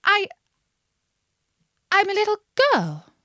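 A woman voicing a puzzled, earnest, indignant little girl in a storybook reading, with expressive, drawn-out phrasing. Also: very high voice, no echo, clean studio sound, pitched above the performer's own voice, exaggerated voice. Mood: doubtful, hesitant.

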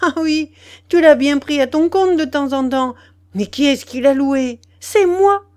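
A betrayed mistress in a storybook drama, played by a woman reader. Her voice is high and full of indignant fury. She hurls accusations with scorn and vehemence. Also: moderate pace, darkish tone, natural voice. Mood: furious outburst. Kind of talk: dramatised audiobook dialogue.

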